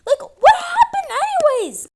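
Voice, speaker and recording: A woman's voice: very high voice, normal speed, full character voice. A woman playing an exasperated teacher losing patience with an online class, in an amateur skit with dramatic, sighing delivery. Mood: exasperated disbelief. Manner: exasperated, sighing, dramatic swings from calm to outburst. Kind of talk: amateur animated-skit voice acting, one side of dialogue. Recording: clicky home recording with background noise.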